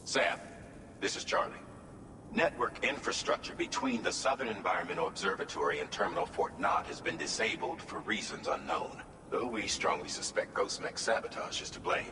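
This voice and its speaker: A man playing a formal network coordinator in a game cutscene, giving technical instructions in a measured way. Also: on the low side, lively intonation, natural voice. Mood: businesslike. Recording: distant, echoey, reverberant, noisy.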